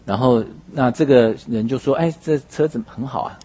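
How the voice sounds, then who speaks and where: A man interpreting a sermon phrase by phrase, husky and somewhat halting, rephrasing as he goes. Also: mid-range voice, mellow.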